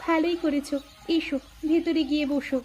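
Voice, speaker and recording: A woman's voice: high-pitched, little pitch movement, brisk, a little mellow, a little hiss or hum, close to an everyday voice. A woman voicing a dutiful, spirited young village daughter-in-law in a cartoon, earnest and plaintive. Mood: welcoming.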